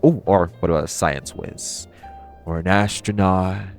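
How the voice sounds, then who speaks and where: Man acting as a supervillain thief, deep male voice, flirty, cocky, casual teasing, audio roleplay, background music. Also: fairly even intonation. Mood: playful, flirty. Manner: casual, teasing, conversational with playful pauses. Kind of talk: intimate audio roleplay addressed to the listener.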